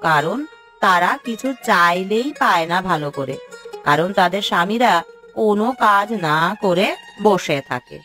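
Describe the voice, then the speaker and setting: A woman acts as a concerned village housewife in a cartoon fairy tale. She speaks solemnly and sympathetically, with gentle background music under her voice. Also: on the low side, unhurried. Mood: grave, gossiping. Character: concerned village housewife gossiping gravely about neighbours.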